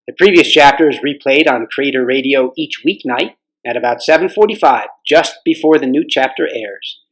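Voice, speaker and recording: A man reading aloud as a volunteer storyteller, with a measured storybook narration style for families. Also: fairly high pitch, low-quality recording.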